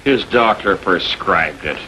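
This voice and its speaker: Police lieutenant, 1940s crime drama. Slangy, skeptical, forceful tone, slightly noisy old film sound. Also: male voice, deep voice, lively intonation, slow, exaggerated voice. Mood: matter-of-fact. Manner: blunt, slangy.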